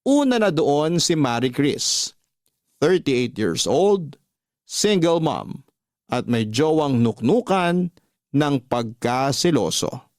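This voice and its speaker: A man's voice: a little high, animated, takes it slowly, darkish tone, dry recording. Heartbroken male narrator, dry close sound. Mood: confiding. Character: heartbroken, self-reflective man recounting his secret affairs. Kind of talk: dramatized first-person scripted radio narration.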